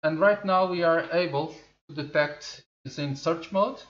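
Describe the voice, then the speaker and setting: Portuguese-native guy reviewing tech, slow and chatty, a bit high, echoey room, kinda dull sound. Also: fairly bright tone. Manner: slow, chatty, thinking aloud with many fillers. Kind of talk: product review. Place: studio room at a desk.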